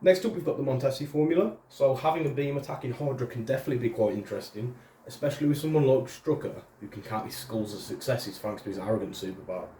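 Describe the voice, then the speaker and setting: Fast-talking nasal, raspy man. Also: medium pitch, dark tone, a little hiss or hum. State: under the weather. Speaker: host presenting a tabletop battle report. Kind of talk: casual explanatory chat. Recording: roomy, distant mic.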